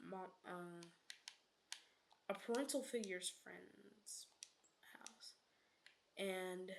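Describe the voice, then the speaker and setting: Young woman, game streamer, casual rambling chat, gravelly fry, mid pitch, whispered asides, self-corrections. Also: a little hiss or hum.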